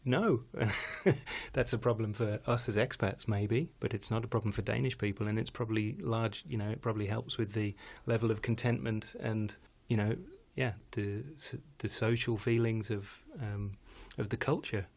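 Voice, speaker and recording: Rambling lower-voiced man, chatty guest. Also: steady tone, normal speed, creaky. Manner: rambling, self-correcting, laughs often. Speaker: guest musician. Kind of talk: casual live show chat. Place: home studio in Denmark.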